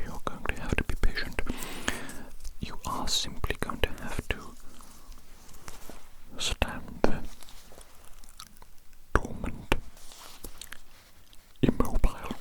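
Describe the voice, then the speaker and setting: A performer voicing an eager, odd alien doctor who poses as a human man of science, in a slow breathy ASMR whisper, repeating phrases in stilted, formal wording. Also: natural voice, low-quality recording.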